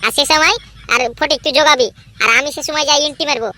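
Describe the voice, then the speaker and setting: A woman playing a scheming boy ringleader in a cartoon. The voice is high and quick, bossy and impatient. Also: bright, forceful tone, a little hiss or hum. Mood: scheming, urgent. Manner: impatient, commanding, boastful. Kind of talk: amateur comedy cartoon dub.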